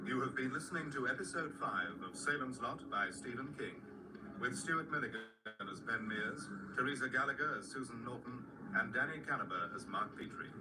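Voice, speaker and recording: A man's voice: medium pitch, animated, normal speed. A man reading scripted credits as a radio drama announcer. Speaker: continuity announcer. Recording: distant replay of radio broadcast, heavy noise.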